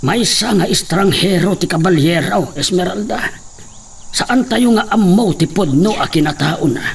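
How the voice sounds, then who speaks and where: An actor in a radio drama playing a steady middle-aged father, grave and consoling. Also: high-pitched, unhurried, gravelly, breathy edge, exaggerated voice. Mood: grave, reassuring. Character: steady, consoling father of a grown daughter, a laborer. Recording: faint noise with cricket-like chirping.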